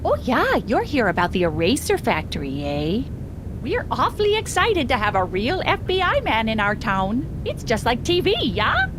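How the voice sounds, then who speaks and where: A high, swooping, folksy Minnesotan innkeeper. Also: female voice, huge pitch swings, normal speed, noisy background. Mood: cheery, welcoming. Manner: folksy, chirpy, gushing. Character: cheery, motherly, gushing small-town innkeeper woman. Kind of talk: video game character dialogue.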